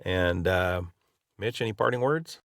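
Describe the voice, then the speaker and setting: A man co-hosts a casual podcast in a lowish voice, rambling through his questions with restarts. The sound is dry and close, with no room around it. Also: vocal fry, fairly bright tone, no room sound. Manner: rambling, halting, friendly. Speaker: podcast co-host. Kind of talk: casual interview chat.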